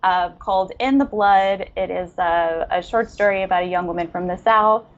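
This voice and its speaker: A woman's voice: fairly low pitch, normal speed, highs cut off. A woman co-hosting a podcast in an effusive, appreciative, casual chatting style. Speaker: podcast co-host interviewing a writer. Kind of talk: casual chat.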